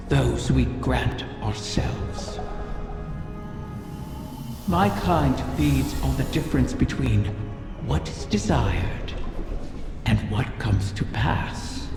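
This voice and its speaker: A man voicing an ancient, cunning wish-granting dragon in a video game, telling its tale in solemn, deliberate, mournful riddles. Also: medium pitch, gravelly. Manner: slow, deliberate, solemn storytelling. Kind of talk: dramatic scripted game monologue. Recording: echoing hall reverb, loud music behind.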